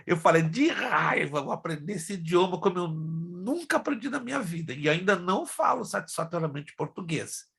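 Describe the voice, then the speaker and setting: A man's voice: high voice, expressive, normal speed, gravelly, fairly bright tone, slightly rough. A middle-aged man, a pastor from Brazil whose first languages are Hebrew and Spanish, teaching an online class in a chatty way that speaks straight to his students. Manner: chatty, addresses class directly.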